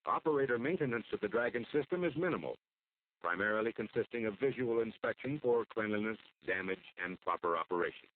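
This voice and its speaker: An American man delivers formal, measured scripted narration for an instructional military training film. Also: on the low side, animated. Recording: muffled phone-like old film soundtrack.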